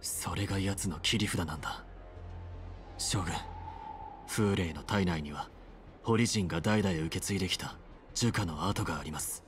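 A man's voice: sits low, moderate pace, long pauses, natural voice. A man playing a serious, dutiful scout in an anime game, giving restrained, grave reports with music behind him. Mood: grave urgency. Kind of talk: Japanese anime game voice acting.

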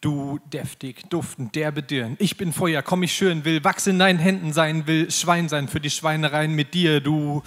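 A man's voice: fairly high pitch, steady tone, moderate pace, hardly any pauses. A man in his twenties reciting an alliterative mock love poem at a slam. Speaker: slam poet. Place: live stage in an indoor event hall. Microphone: mic on stand.

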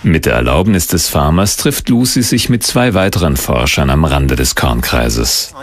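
A man's voice: very deep voice, expressive, normal speed. A man reading scripted documentary narration as the narrator. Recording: music under the narration. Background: background music.

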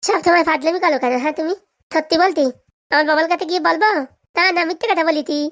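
A performer voicing an excitable, childlike villager in a comic cartoon, squeaky and with a rural Bengali accent. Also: quick pace. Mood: chatty, puzzled. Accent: Bengali, rural dialect.

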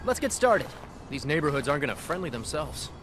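A man's voice: a little high, sing-song, fairly quick, music in the background. An actor playing a jaunty, quippy young male superhero in a video game. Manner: quippy, breezy. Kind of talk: video game cutscene acting.

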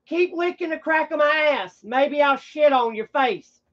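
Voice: high voice, a little slow, a bit bright, muffled recording. A streamer talking off the cuff to viewers in a blunt, combative, swearing manner. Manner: blunt, combative, foul-mouthed. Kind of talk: casual rambling livestream talk to viewers.

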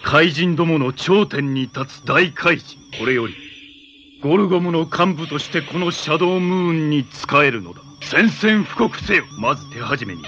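An actor playing a menacing armored cyborg villain in a 1980s Japanese superhero TV show, making imperious, theatrical proclamations. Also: male voice, on the high side, fairly bright tone, dull audio, a little hiss or hum.

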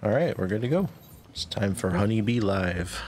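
A man leading a game raid on stream, calmly calling out mechanics and giving instructions. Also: on the low side, normal speed, faint music behind. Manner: calm, instructive callouts with short laughs.

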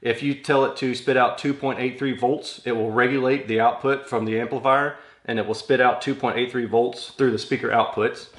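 A man's voice: medium pitch, fairly even intonation, moderate pace. A man in his thirties giving casual, think-aloud unboxing commentary in an informal manner, in a small workshop room. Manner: informal, thinking aloud while handling items. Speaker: product reviewer unboxing audio gear. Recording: clear crinkling and handling noise. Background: packaging crinkles, clicks, rustling.

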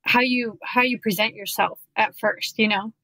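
A woman's voice: a little high, steady tone, moderate pace, bright, forceful tone, dry recording, low-quality recording. A woman hosting a podcast, backing her guest with short replies and asking long, rambling questions. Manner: supportive listener, rambling questions with restarts. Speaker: podcast host. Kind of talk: podcast interview.

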